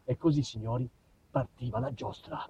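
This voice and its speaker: A man with a southern Italian accent performing a comic monologue, theatrical and mock-pompous, moving between muttered asides and loud outbursts. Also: medium pitch, fairly even intonation, moderate pace, husky, highs cut off. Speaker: stage monologist. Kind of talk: comic autobiographical storytelling to a live audience.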